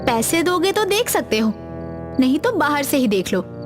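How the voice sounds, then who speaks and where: Very high-pitched woman acting a dramatic female character. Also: very animated, moderate pace, music in the background. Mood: matter-of-fact. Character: women of a horror tale, anxious and accusing. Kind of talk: dramatized horror audio story.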